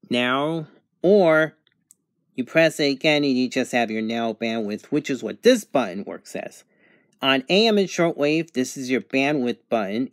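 A man with a mid-pitched voice talks through a product demonstration in a casual, plain way. He takes his time, explaining step by step. The sound is close and dry, with little room echo. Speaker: product reviewer.

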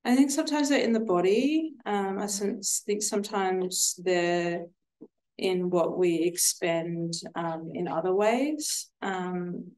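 Woman writer, lowish voice, slow, reflective, word-searching delivery. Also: darkish tone, rough recording. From Australia. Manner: thoughtful, slow, searching for words. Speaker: author in conversation. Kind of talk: literary conversation. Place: lecture room, seated on a small stage.

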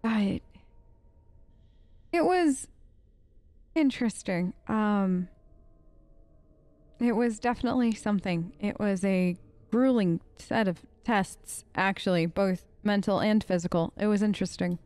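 A woman hosting a casual role-play game video, expressive and chatty as she talks to the characters. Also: mid-range voice, unhurried, pauses often, no echo. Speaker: gaming video host. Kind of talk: in-character playthrough commentary, casual.

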